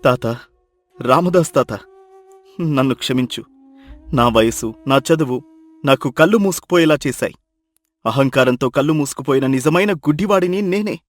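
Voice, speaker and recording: A man's voice: medium pitch, lively intonation, normal speed, long pauses, natural voice. Guy acting young educated city fella, humbled, pleading, raised voice, story drama w music. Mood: remorseful. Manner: earnest, emphatic, pleading.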